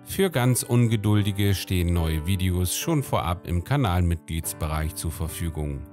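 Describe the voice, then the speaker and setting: A man's voice: sits low, animated, normal speed, a little mellow. A man delivering scripted voice-over narration for an online video series, addressing the viewers. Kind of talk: scripted narration, channel outro. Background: guitar music.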